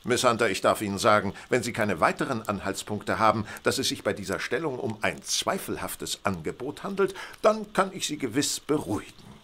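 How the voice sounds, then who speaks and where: A man's voice: lowish voice, expressive, brisk, hardly any pauses, bright, forceful tone, husky, heavily acted. An actor playing a coolly logical, condescending male detective in a German radio play, speaking precisely and formally. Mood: brisk, dismissive.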